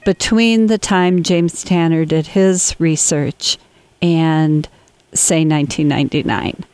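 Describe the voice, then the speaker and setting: A woman's voice: low-pitched, steady tone, slow, darkish tone, smooth, dry recording. A woman speaking as an interviewer in a conversational radio interview.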